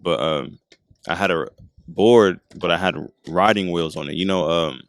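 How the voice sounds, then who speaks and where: A man in his twenties with a deep voice, chatting casually as a podcast guest at an outdoor skate park, laid-back and rambling with lots of fillers. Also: moderate pace, no echo. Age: 20s. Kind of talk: casual chat. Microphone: handheld mic.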